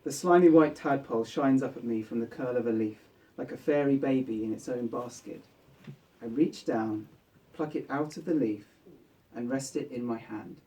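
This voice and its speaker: A man's voice: medium pitch, mellow. A man reading his own literary short story aloud at a live event. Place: bookshop book launch event. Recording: distant mic in a live room.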